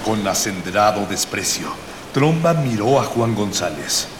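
A man's voice: fairly low pitch, animated, a little slow. A man narrating a scripted radio serial in a florid, old-fashioned storytelling manner. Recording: echoey long reverb, clear hiss.

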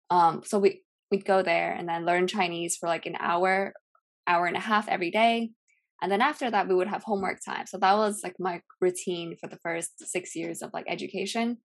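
A young woman from California, a Cantonese speaker, chatting casually as a podcast guest with lots of fillers. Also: lowish voice. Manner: relaxed, rambling with many fillers. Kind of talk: casual chat.

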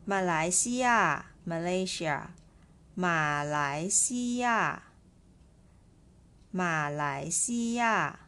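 A woman teaching a language lesson, slowly repeating each word for listeners to practice. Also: on the low side, expressive, sharp tone, clean tone. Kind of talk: slow lesson with drills.

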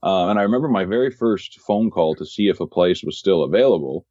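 A man with a very low voice tells stories of his real estate work as a podcast guest. He speaks in a measured way, often repeating words while he finds his phrasing. The sound is dry and a little dull. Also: animated, warm tone, no room sound. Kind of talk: casual interview storytelling.

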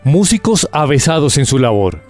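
A man's voice: on the high side, big pitch swings, normal speed, darkish tone, faint music behind. A man narrating a report in a solemn, lyrical scripted style. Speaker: news narrator.

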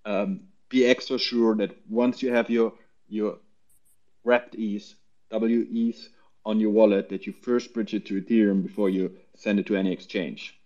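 A man speaking casually as a panelist, halting and restarting phrases, over a dull online call. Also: fairly low pitch, fairly even intonation, darkish tone. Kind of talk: casual explanation.

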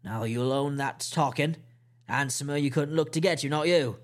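A cunning old one-legged pirate, put on by a male narrator blusters in a rough seafaring dialect. The voice sits higher and louder than a plain reading voice, bargaining and threatening by turns in a storybook reading. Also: normal speed, close to an everyday voice. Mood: wheedling. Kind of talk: audiobook character voice.

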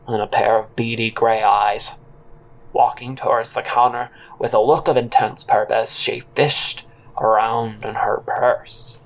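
A man narrates a comic audio drama in the first person. He takes his time, speaking slowly in a medium-pitched voice, with a halting and theatrical delivery. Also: creaky, poor audio. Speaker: narrator and counter worker character.

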